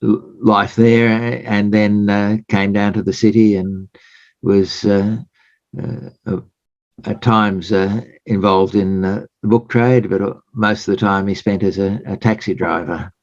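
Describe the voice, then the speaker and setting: A man with an Australian accent, a guest in an interview, speaking in a measured, hesitant way with many pauses. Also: fairly low pitch, level tone, a little mellow, no room sound. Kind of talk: casual interview chat. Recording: dry, dull lo-fi sound.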